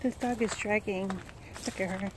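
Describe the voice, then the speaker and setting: A woman's voice: mid-range voice, steady tone, moderate pace. A woman vlogging as she walks her dog along a forest trail, chatting while she holds the camera. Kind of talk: casual chat while walking. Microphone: handheld camera mic. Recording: outdoor wind and forest noise.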